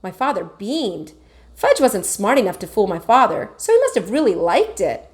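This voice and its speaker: A woman teacher reading a children's chapter book aloud with expressive, animated storytelling. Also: medium pitch, moderate pace.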